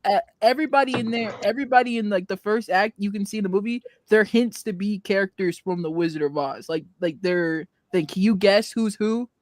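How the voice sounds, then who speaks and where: A woman's voice: medium pitch, fairly quick, hardly any pauses, darkish tone, no room sound. A woman in a casual group podcast discussion, speaking informally and insistently. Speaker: podcast panellist.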